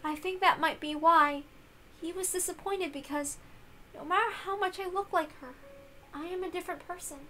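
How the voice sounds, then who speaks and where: Feeble, innocent young witch, performed by a woman reader, high-pitched and halting, sad but earnest, with music underneath. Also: fairly even intonation, bright, forceful tone. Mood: sad, hesitant.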